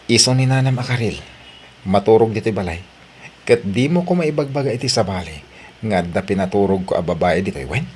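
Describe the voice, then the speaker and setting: A man playing a secretive, menacing father who hushes a child in an audio drama. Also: fairly low pitch, animated, a little fast, natural voice. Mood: hushed threatening.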